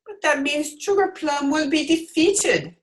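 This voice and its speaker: A woman's voice: high-pitched, a little slow. A woman playing an exasperated, skeptical political accomplice in an old-time radio drama. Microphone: video call.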